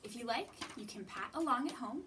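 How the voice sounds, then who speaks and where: Woman, children's library host: slightly high voice, deliberate and encouraging teaching delivery, recorded at a distance with background noise. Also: expressive. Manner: deliberate, encouraging, asks questions and pauses. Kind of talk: children's lesson and read-aloud.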